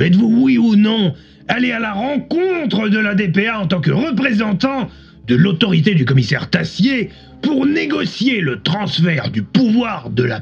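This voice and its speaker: Man playing a husky, pompous radio debate host. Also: high-pitched, voice pushed up, heavily acted. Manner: pompous, emphatic, indignant. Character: smug, theatrical male radio talk-show host, accusatory. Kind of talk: French radio debate show hosting in an audio drama. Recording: muffled radio broadcast sound, music behind.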